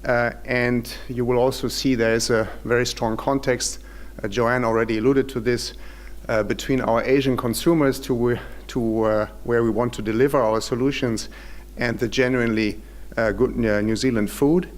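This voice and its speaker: A man's voice: mid-range voice, steady tone, normal speed. A man with a German accent presenting at an industry forum in a hotel conference room, speaking formally with frequent hesitant fillers.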